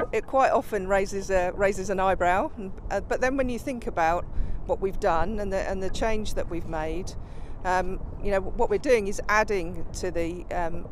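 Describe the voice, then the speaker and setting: Halting woman interviewed amid faint traffic. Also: mid-range voice. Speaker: airport chief operating officer. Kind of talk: spontaneous interview. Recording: faint outdoor traffic noise.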